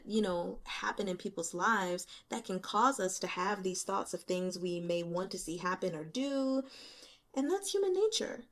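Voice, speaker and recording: Candid, animated woman chatting about books. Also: mid-range voice. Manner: candid, animated, colloquial, quick to laugh. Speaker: host of a book reading channel. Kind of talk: casual chatty book commentary. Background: rain.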